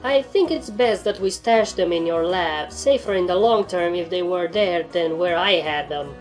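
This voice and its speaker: A woman's voice: on the low side, moderate pace, close to an everyday voice. A woman playing a precocious female pony foal with a grown-up mind, nonchalant and argumentative, in a storybook reading. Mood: persuasive, firm. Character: precocious, self-assured filly with adult mind. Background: music.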